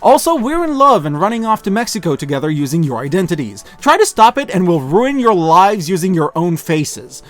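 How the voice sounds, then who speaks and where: A man's voice: sits high, big pitch swings, a little fast, hardly any pauses, soft background music. A man voicing a smug, threatening robot double in love, in a casual, taunting manner for a comic review.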